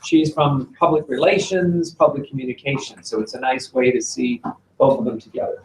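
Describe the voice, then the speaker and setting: A man moderating a panel and introducing speakers smoothly and formally. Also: medium pitch, moderate pace, a little hiss or hum. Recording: distant mic in an echoey room.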